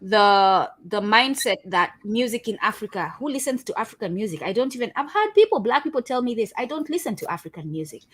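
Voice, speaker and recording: A woman from Kenya, a Kiswahili speaker, leads a panel discussion. Her delivery is earnest and preachy, and she pauses often on "um" and "uh". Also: fairly high pitch, very animated, fairly bright tone. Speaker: host. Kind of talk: panel discussion on video call.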